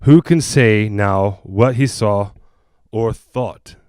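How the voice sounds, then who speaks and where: A man playing a grave, evasive doctor who speaks in a measured, apologetic way, in the style of a 1940s radio drama. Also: on the low side. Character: grave male doctor and ghost hunter, composed, evasive.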